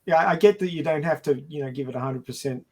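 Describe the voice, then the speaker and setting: A man's voice: fairly high pitch, quick pace, slight background noise. A man talking casually as a panelist in a podcast discussion.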